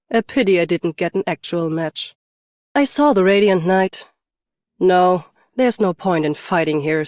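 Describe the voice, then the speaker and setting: A woman's voice: lowish voice, darkish tone, dry recording, studio quality, natural voice. A woman playing a stoic, battle-hardened champion swordswoman and bodyguard in a video game, restrained and commanding. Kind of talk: scripted game character voice lines.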